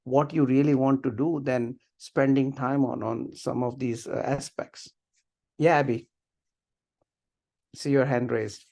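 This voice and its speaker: A middle-aged man teaching over a video call. His voice is mid-pitched and full of creak, and he speaks haltingly, often repeating and rephrasing as he leads discussion. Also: fairly even intonation, dark tone. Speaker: webinar instructor. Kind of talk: informal class discussion. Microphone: video call computer mic.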